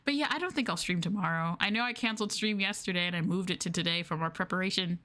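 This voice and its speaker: A woman's voice: lowish voice, quick pace, sharp tone. Chatty woman streamer, bubbly delivery. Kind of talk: casual livestream chat.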